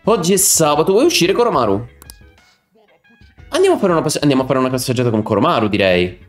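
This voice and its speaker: A man's voice: on the high side, very animated, fast talker. A man in his thirties chatting and commenting on a live game stream, now and then slurring his words. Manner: sometimes slurred articulation. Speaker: streamer reading game dialogue aloud. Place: indoor room, streaming setup. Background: game music.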